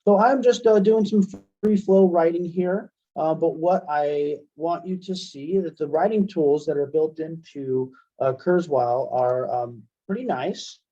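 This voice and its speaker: A man giving a casual software demonstration as an instructor, conversational and thinking aloud as he goes. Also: fairly high pitch, unhurried, hardly any pauses. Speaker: instructor, webinar presenter. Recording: dull, band-limited webinar audio.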